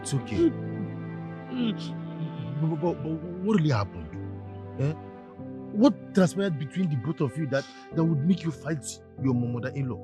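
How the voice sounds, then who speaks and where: Actor, flustered Nigerian husband, stammering and pleading, wildly varied pitch, music clearly behind, film drama. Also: male voice, animated, moderate pace, pauses often, close to an everyday voice. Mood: flustered, worried.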